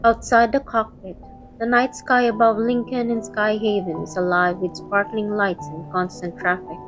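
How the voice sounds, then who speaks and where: A woman's voice: mid-range voice, level tone, normal speed, over music, rough recording. A woman reading scripted first-person narration for a romantic audio drama, also voicing its heroine.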